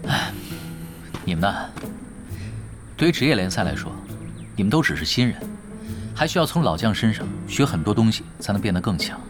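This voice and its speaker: An actor playing a laid-back, shrewd veteran pro gamer who gives wry, casual advice in a radio drama. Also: male voice, on the low side, very animated, fairly quick, long pauses. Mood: advising, relaxed. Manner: casual, wry, advising juniors. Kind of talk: Chinese radio drama dialogue. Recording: music behind the voice.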